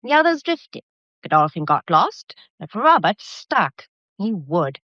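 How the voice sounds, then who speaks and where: A woman's voice: mid-range voice, sing-song, measured pace, no room sound. An actress with a British accent playing a poised, candid young upper-class woman in a period radio drama, polite and understated. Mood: anxious, confiding. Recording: dull, muffled lo-fi audio.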